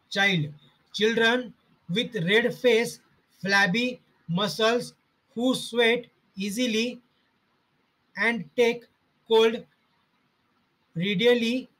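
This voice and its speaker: A man slowly reading out homeopathy study notes in short, halting fragments. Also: high voice, fairly bright tone, poor audio.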